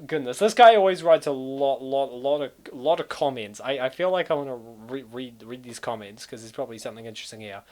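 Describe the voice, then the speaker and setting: A man's voice: medium pitch, a little fast, hardly any pauses, a little mellow. Rambling, amused man giving casual commentary. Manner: rambling, repeats words, amused. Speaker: comic dub commentator.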